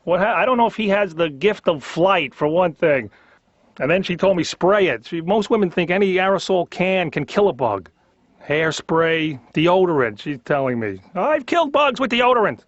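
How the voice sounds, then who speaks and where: A man's voice: high-pitched, very animated, normal speed, no echo. A man playing a squeamish, exasperated husband venting in cartoon therapy, in a comic storytelling style with acted-out asides. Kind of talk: stand-up routine as cartoon dialogue. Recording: dull, lo-fi audio.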